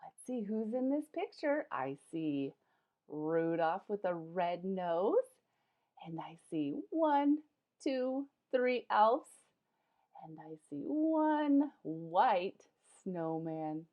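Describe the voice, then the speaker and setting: Animated high-pitched woman reading slowly to children. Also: muffled recording. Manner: expressive, addresses children directly, dramatic gasps and emphasis. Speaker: teacher reading a picture book to young children. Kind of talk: animated children's storybook read-aloud.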